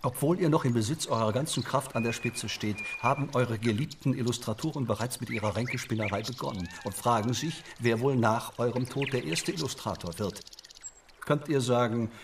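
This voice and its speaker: An actor plays a probing, insinuating investigator in a radio drama. He speaks formally in a mid-pitched voice, with clear clicks and noise behind. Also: a little fast, natural voice. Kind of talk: German radio play acting. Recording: clear clicks and background sounds.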